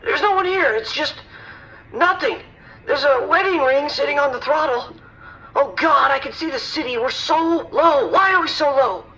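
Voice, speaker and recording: An actor playing a panicked, helpless airline passenger in an audio drama, stammering and alarmed. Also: male voice, very high voice, normal speed, bright, forceful tone. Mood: shaken. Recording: tinny lo-fi cockpit radio call.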